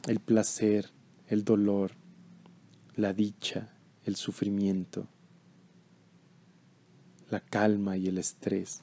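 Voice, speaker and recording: A man leading a guided meditation in a calm, measured, instructional way. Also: lowish voice.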